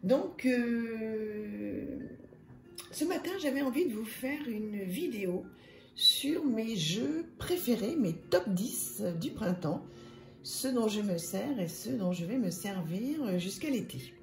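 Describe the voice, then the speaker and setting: A slightly hoarse woman hosting her own channel, reviewing card decks in a soft, hesitant way full of fillers. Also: a little high, animated, takes it slowly, echoey room, soft background music. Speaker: tarot and oracle channel host. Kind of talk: casual card deck review.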